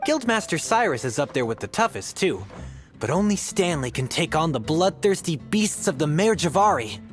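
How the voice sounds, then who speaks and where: A man's voice: fairly high pitch, big pitch swings, normal speed, soft background music. A man playing a drunk, boastful, chummy adventurer in a video game tavern. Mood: boastful, tipsy.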